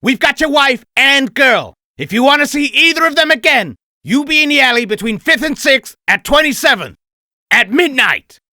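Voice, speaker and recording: A man voicing a brash, threatening mafia hitman in a comic dub, with a strained, gravelly shout. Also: very high voice, lively intonation, normal speed, no room sound, clean studio sound, exaggerated voice. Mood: menacing threat. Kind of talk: comic book dub acting.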